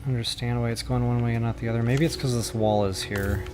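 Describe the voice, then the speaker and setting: A man's voice: mid-range voice, fairly even intonation, fast. Male tutorial host, mid-range voice, casual and unscripted, mildly self-deprecating, thinks aloud while gaming, music behind. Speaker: video game tutorial host. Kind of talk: casual screen-recorded game building tutorial.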